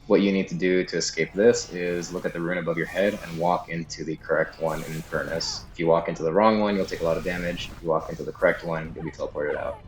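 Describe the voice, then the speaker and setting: A man's voice: low-pitched, little pitch movement, fast, few pauses. A man giving a casual, unscripted video game walkthrough, explaining as he goes and often unsure of details. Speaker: guide narrator. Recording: slightly echoey room, game music underneath. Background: game music.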